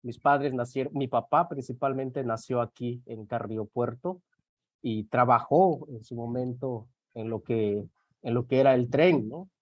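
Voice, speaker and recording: A man's voice: mid-range voice, unhurried, no echo, dull audio. A man in his forties with a Yucatec Maya accent, Maya as his first language, speaking hesitantly with many fillers as a panelist in an online talk. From Mexico. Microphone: video call.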